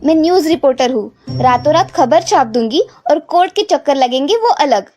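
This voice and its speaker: A woman's voice: high voice, animated, normal speed, warm tone, soft background music. A woman playing a confident, outspoken young daughter-in-law in a cartoon story, speaking firmly. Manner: firm, outspoken.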